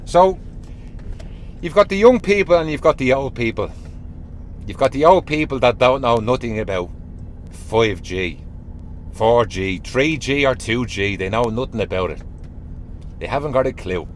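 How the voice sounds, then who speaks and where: A hoarse man with a Dublin accent, host of his own channel, talking casually and conspiratorially, repeating phrases and teasing his viewers with hints. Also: mid-range voice, expressive, normal speed, pauses often, a little hiss or hum. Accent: Irish, Dublin. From Ireland. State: hoarse from a sore throat. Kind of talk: casual monologue to camera.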